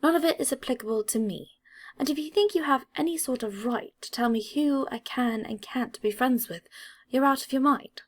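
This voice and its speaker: A woman's voice: high voice, moderate pace, pitched above the performer's own voice. Woman voicing a cool, dry-witted schoolboy. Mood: firm, defiant. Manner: cool, dry, matter-of-fact. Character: aloof, clever, unflappable schoolboy with dry wit.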